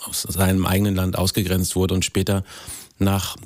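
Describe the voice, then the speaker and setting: A man's voice: low voice, monotone, moderate pace, darkish tone, dry recording, studio quality. A man with a native German accent, a casual radio interview guest who searches for his words as he talks. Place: radio studio.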